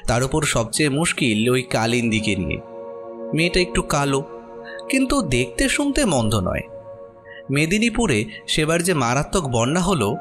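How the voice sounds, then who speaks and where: A man narrating a horror story expressively from a script. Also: on the high side.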